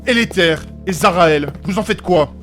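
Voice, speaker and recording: A man's voice: high voice, normal speed, a bit bright, soft background music. A man playing a courteous, learned mage in a fantasy audio drama, speaking in formal, precise, long-winded sentences. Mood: indignant. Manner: formal, precise, pedantic phrasing with polite address.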